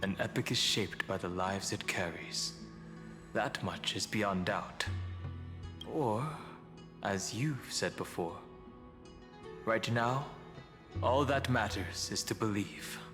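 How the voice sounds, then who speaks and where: Brief: grave, composed fantasy game hero, unhurried delivery, big reverb with music. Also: male voice, on the low side. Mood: calm resolve. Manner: measured, grave. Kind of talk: dramatic fantasy game dialogue.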